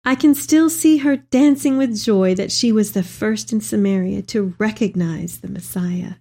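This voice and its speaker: A woman playing an elderly, devout mother reading her tender letter aloud in an expressive, affectionate dramatic reading. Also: medium pitch, a little slow. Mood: fond, joyful.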